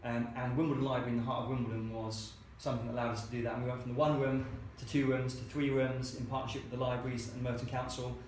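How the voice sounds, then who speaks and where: Man, founder, rapid anecdotal storytelling, acts out quotes, very echoey room, faint hiss. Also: medium pitch, little pitch movement, darkish tone. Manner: chatty, acts out quoted dialogue. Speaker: co-working space founder.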